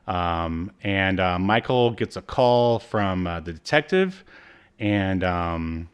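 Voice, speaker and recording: A man's voice: sits low, animated, slow pace, clean tone. A man co-hosting a podcast, chatting casually through a recap with rambling, jokey asides. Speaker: podcast co-host. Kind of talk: casual chat, recapping a TV episode.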